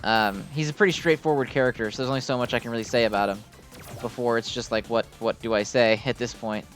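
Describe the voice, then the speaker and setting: A man's voice: medium pitch, steady tone, fast talker, a bit bright, soft background music. A man giving casual, unscripted gameplay commentary in a rambling, informal, self-deprecating way. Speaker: gaming video host.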